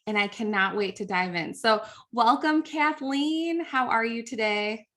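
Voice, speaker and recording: A woman's voice: on the high side, animated, unhurried, a bit bright. A woman hosting a podcast interview, speaking in an enthusiastic, upbeat way as she introduces her guest. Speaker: podcast host.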